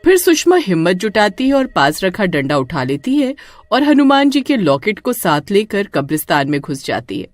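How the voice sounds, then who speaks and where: A woman narrating a horror story in an expressive storytelling manner. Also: mid-range voice, normal speed, dry recording, faint music behind.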